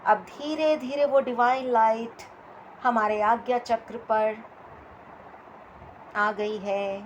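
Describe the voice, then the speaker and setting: Slow, higher-pitched woman guiding meditation. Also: steady tone, lo-fi sound, a little hiss or hum. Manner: soothing, repetitive, unhurried phrasing with pauses. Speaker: guided meditation instructor. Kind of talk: guided meditation, slow spoken instructions.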